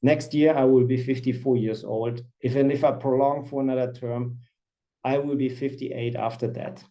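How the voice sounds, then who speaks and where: A man in his fifties, a company CFO, presenting quarterly results on an earnings call in a measured, formal way. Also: medium pitch, steady tone, warm tone, poor audio. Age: 50s. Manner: measured, formal reading of financial figures.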